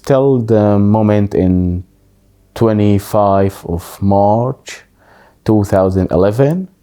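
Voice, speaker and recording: A man, recounting his life story in an interview in a halting, thoughtful way with many pauses. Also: low voice, warm tone. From Syria. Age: 30s.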